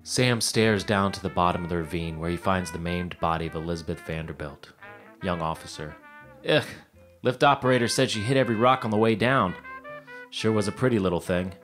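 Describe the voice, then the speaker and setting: A man's voice: low-pitched, a little fast, a bit bright, faint music behind. An actor playing an eager, wisecracking young man in a 1950s period audio drama. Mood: dramatic, absorbed. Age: 20s. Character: eager, wisecracking young screenwriter and bellboy in 1950.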